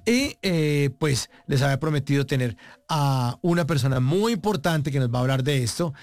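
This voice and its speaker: A man's voice: on the high side, expressive, normal speed, darkish tone, no echo. A man hosting a radio interview, with a joking, teasing manner and frequent laughs. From Colombia. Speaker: radio show host. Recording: music playing faintly underneath.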